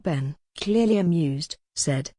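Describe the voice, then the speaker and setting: A woman's voice: deep voice, expressive, slow, mellow, no room sound, studio quality. A woman reading scripted narration from a historical romance novel as an audiobook narrator.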